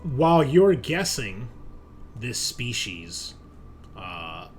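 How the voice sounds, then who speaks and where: A man running a tabletop roleplaying game as game master, narrating in an improvised, deliberate way with pauses. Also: mid-range voice, big pitch swings, a little hiss or hum.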